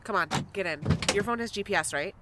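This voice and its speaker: A woman's voice: mid-range voice, quick pace, heavy background noise, close to an everyday voice. A woman acting in a fiction podcast as a restless, frustrated and blunt character with a sardonic manner. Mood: brisk.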